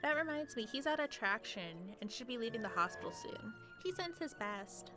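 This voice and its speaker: Woman as sardonic, highish-voiced villain secretary. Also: lively intonation, moderate pace, over music, lightly acted. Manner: dry, sardonic. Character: dry, sarcastic female villain acting as meeting secretary. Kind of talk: comedic radio play acting.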